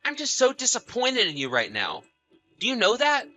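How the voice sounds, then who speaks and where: Audio-story role: betrayed husband played by a man, piercingly bright delivery, animated pitch, sarcastic and confrontational. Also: moderate pace, soft background music, exaggerated voice. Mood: angry, accusing. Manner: sarcastic, accusatory. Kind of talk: acted audio story dialogue and narration.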